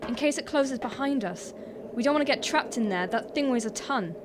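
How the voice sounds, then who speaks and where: A woman's voice: on the high side, animated, fairly quick, background noise, natural voice. An actress playing a determined young woman in a horror drama, speaking firmly and urgently. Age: young adult. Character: determined young woman searching for her missing sister. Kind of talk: dramatic voice acting in a horror motion comic.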